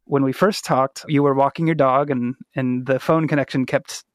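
A man's voice: mid-range voice, steady tone, normal speed, no echo, studio quality. A man hosting a podcast in a casual, conversational interview style. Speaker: podcast host.

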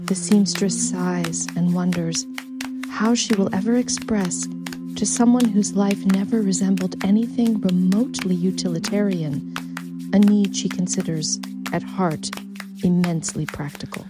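A woman reading a literary short story as scripted narration. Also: lowish voice. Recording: faint background noise.

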